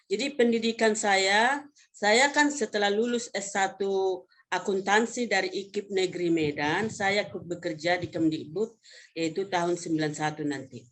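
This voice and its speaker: Middle-aged Indonesian woman presenting, conversational. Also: mid-range voice. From North Sumatra, Indonesia. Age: 50s. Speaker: vocational education professor presenting a webinar. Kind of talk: informal slide presentation.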